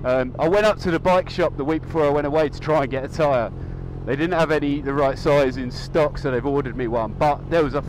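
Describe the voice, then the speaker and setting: A man's voice: fairly high pitch, fairly quick. A young man chatting casually as he rides his motorcycle, with a microphone inside his helmet and a rambling, upbeat manner full of ums. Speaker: motovlogger. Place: outdoors on a moving motorcycle. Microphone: helmet mic. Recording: faint road and engine noise.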